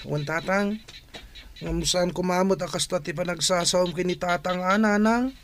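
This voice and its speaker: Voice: mid-range voice, fairly even intonation, moderate pace, a little hiss or hum, natural voice. A performer acting a caring young child of a family in a Filipino radio drama, with a Filipino accent. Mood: concerned.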